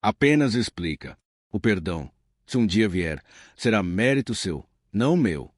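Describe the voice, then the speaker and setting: A man reading the lines of a wealthy businessman, now humbled and reflective, speaking in a measured way. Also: fairly low pitch, sing-song, no echo, studio quality.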